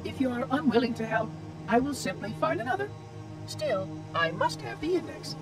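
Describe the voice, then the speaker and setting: Fussy high-pitched game robot, super formal, swoopy and cheerfully menacing, music behind. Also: male voice, big pitch swings, moderate pace. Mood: calmly insistent. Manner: pompous, formal, precise. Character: prim, fussy, cheerfully menacing robot caretaker of an alien installation. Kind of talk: video game cutscene acting.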